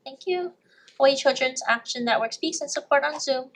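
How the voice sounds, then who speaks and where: A woman running a legislative hearing, calling testifiers in a brisk, businesslike way. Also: high voice. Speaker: committee clerk or chair calling testifiers. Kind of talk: brisk procedural announcements.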